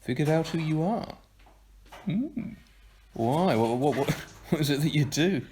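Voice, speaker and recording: A man's voice: fairly high pitch, expressive, unhurried, darkish tone, slight background noise. Dry, bemused young man, played by a man. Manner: dry, hesitant, stammering. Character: bemused, dry young male newcomer, sleepy and sceptical. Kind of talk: audio drama acting.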